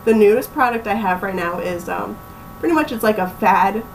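A young woman from Pennsylvania, chatting casually as a small business owner in an interview, relaxed and full of ums. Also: mid-range voice, normal speed, distant mic, soft background music, poor audio. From Pennsylvania, USA. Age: young adult. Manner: relaxed, chatty, laughs easily, many ums.

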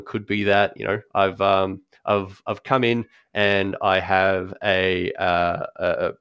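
A man talks through accessible design at an easy podcast pace, pausing on ums and restarting his thoughts. His voice sits fairly low, and the recording is dry and close with little room sound. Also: a bit bright, no echo, muffled recording. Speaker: guest expert on accessible design. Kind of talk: conversational podcast explanation.

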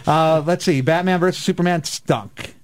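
A man's voice: a little high, normal speed. A male radio co-host debating films casually in a blunt, opinionated and argumentative way.

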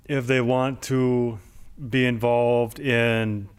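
Male host, middle pitch, easygoing and rambling, casual chat. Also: flat delivery, extremely slow, clean tone. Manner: rambling, reminiscing with many "like"s. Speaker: show host.

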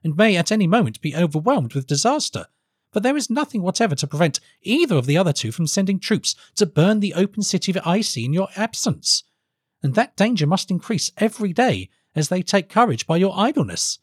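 Man voicing an eager, high-pitched young man. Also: very animated, fairly quick, breathy edge, dry recording, studio quality, exaggerated voice. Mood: earnest, urging. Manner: earnest, insistent. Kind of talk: audiobook character voice.

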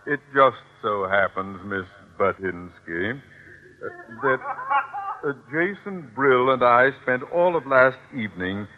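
An American actor playing a pompous, high-strung, middle-aged school principal who blusters indignantly in an old-time radio sitcom. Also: male voice, expressive, slow. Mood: smug, sly. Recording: tinny, noisy vintage radio recording.

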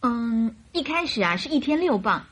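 A woman's voice: fairly high pitch, big pitch swings, moderate pace, slightly rough. An actress playing a cheerful, chatty young woman typist in a radio drama, girlish and emphatic. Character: plump, cheerful, chatty young female typist in her early twenties.

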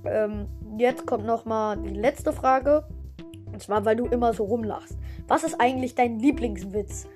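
A woman's voice: a little high, measured pace, over music. A woman casually hosting her own podcast and interviewing a guest, chatty and often stumbling over her words. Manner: chatty, stumbling, many fillers and restarts. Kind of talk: casual home podcast chat.

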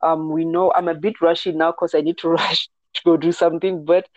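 A man with a slightly higher voice co-hosts a fight podcast in an easygoing way. He comes through a dull, muffled call line in a dry space, filling his talk with "yeah" and "um". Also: level tone, a little fast, no room sound. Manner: easygoing, says "yeah" and "um" often. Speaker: podcast co-host. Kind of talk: casual MMA podcast chat. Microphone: remote call over Wi-Fi.

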